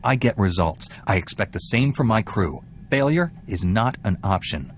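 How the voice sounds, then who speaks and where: A man playing a low-voiced, curt Jedi warrior. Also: lively intonation, moderate pace, background noise, lightly acted. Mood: stern. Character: stern, dry-witted young male Jedi warrior hero. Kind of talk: video game cutscene acting.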